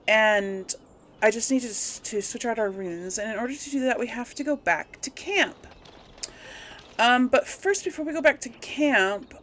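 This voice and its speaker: A woman's voice: on the high side, a bit bright. A woman giving casual gameplay commentary, rambling and thinking aloud as she goes. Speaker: let's play host.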